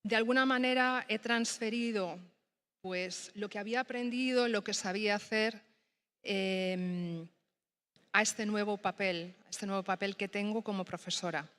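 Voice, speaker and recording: A woman whose first language is Spanish, a design teacher speaking on a summit panel in a thoughtful, halting way with many fillers. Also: medium pitch, steady tone, fairly bright tone.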